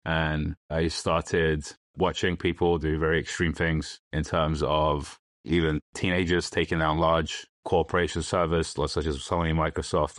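A man's voice: extremely low pitch, fairly bright tone, no echo, clean studio sound. A man, giving a personal account as a guest in an interview. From London, England. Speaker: podcast guest.

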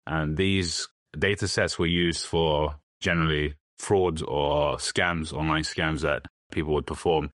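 A man's voice: extremely low pitch, steady tone, a little slow, hardly any pauses, a bit bright, no echo, studio quality. A man, giving a personal account as a guest in an interview. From London, England. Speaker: podcast guest.

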